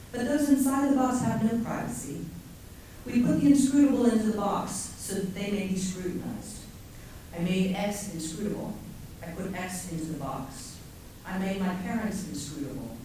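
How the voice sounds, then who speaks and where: A middle-aged woman poet giving a measured, deliberate reading of her own poem to an audience. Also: on the low side, dark tone, a little hiss or hum. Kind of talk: poetry reading. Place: lecture hall. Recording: echoey hall from far off.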